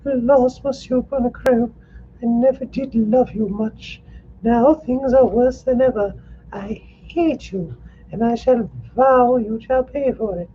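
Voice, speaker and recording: A man voicing an anxious, spirited young woman in a high falsetto for a read-aloud story. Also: measured pace, dark tone, lo-fi sound, slight background noise. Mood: bitter.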